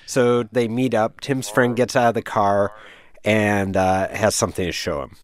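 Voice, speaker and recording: A man's voice: fairly low pitch, fairly even intonation, normal speed. An American man working as a science correspondent, telling a story in a conversational reporting style.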